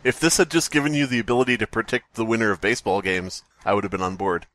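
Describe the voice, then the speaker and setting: A man with a fairly deep voice riffs casually as a podcast co-host. He is wry and self-deprecating, and the sound is very dry, without room echo. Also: fairly even intonation, fast talker, fairly bright tone. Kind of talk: casual comedic critique.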